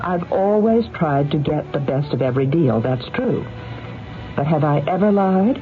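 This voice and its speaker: A woman's voice: low-pitched, expressive, normal speed. A ruthless, calculating woman of means in a vintage radio mystery. She bargains in a commanding, coolly persuasive way with very mobile intonation. The sound is thin and phone-like, with music underneath. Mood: firmly persuasive. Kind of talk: 1970s radio mystery acting. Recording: narrow-band vintage radio, music behind.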